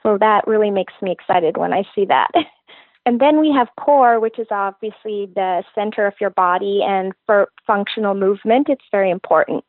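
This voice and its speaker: A woman's voice: medium pitch, moderate pace, no room sound. A woman fitness trainer answers interview questions with earnest enthusiasm, laying out her methods step by step. Her voice comes through a thin, phone-like line, dry and close with no room sound.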